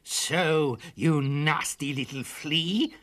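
A man's voice: a little high, extremely slow, fairly bright tone, pitched above the performer's own voice, exaggerated voice. A man voicing a horrible, haughty female witch in a storybook, sneering and insulting with menace. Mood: menacing. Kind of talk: storybook character voice.

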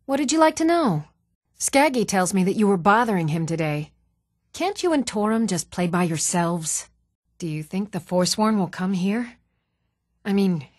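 A hardworking village woman in a fantasy game. She speaks plainly and firmly, like a no-nonsense mother, in a mid-pitched voice. The recording is dry and free of room sound. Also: no echo. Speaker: video game voice actor. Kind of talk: scripted fantasy game dialogue.

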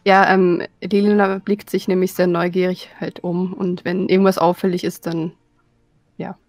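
A woman's voice: on the low side, flat delivery, normal speed, a little mellow, no echo. A woman chatting as a player in a tabletop game, casual and somewhat tentative.

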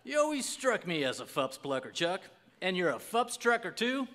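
A man's voice: high-pitched, big pitch swings, normal speed, bright, forceful tone, exaggerated voice. A middle-aged man playing a folksy, cheerful beer lover in a live comedy ad sketch, delivering singsong tongue-twisters. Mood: playful. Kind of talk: live radio-style beer ad parody. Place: stage in a small theatre. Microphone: stand microphone. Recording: live stage amplification in a hall.

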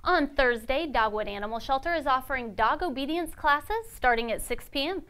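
A young woman anchoring a local news update in a studio, reading announcements in a crisp, even newsreader style. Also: sits high, normal speed. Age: young adult.

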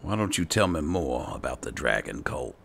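A man's voice: rumbling low, very animated, normal speed, a bit bright. A man giving casual roleplay commentary over a video game, musing to himself in a laid-back way. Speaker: gaming video host. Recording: clear game ambience noise behind voice. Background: video game ambience.

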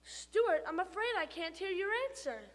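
A woman's voice: very high voice, sing-song, normal speed, in a large hall, pitched above the performer's own voice. A woman voicing a prim, patient female schoolteacher questioning a pupil in a storybook.

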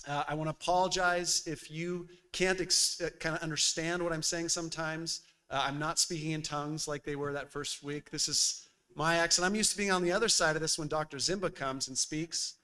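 Bright-voiced pastor preaching conversationally. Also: male voice, fairly high pitch, brisk, hardly any pauses, clear, resonant tone. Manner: lively and conversational, with frequent uh fillers. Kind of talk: sermon. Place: church sanctuary at a pulpit. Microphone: boom mic on a stand at the pulpit.

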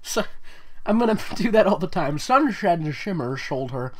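A man's voice: high-pitched, sing-song, moderate pace, a little hiss or hum. A man reading fan fiction aloud in a mocking, amused way, with sarcastic asides.

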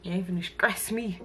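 A young woman in her twenties talks casually and playfully to her viewers, her voice sitting a little low. She sounds close to a camera in a bedroom, with music playing clearly underneath. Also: a bit bright. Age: 20s. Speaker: vlogger. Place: bedroom, indoors.

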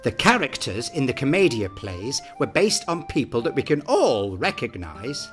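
A middle-aged man narrates with the playful, exaggerated flair of a pantomime dame, his pitch leaping and swooping all over the place. He speaks from a small dressing room at desk distance from the camera, with faint music behind him. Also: big pitch swings, moderate pace. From Britain. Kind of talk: scripted explainer narration.

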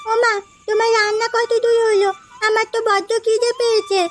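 A woman voicing a young schoolgirl in a cartoon story, plaintive and caring, with childlike questions. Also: extremely high pitch.

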